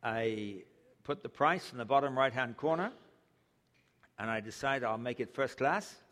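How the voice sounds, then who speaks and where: A man's voice: mid-range voice, unhurried, a bit bright. An older man from England, preaching a sermon with humorous storytelling. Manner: humorous storytelling, chuckles at own jokes. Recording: long hall reverb.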